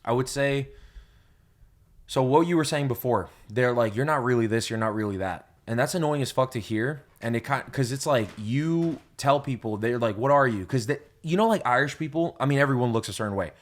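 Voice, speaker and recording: A young man from New York hosting a laid-back podcast. His talk wanders and stacks up curse words as he tells a story, with a middle-pitched voice. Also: a little fast. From New York, USA. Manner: loose and profane, rambling storyteller. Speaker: podcast host. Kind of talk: casual chat.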